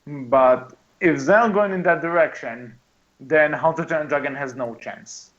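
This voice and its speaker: A man's voice: a little high, a little slow, a bit bright, highs cut off. A man co-hosting a casual movie podcast, chatting in an exclamatory way. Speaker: podcast host.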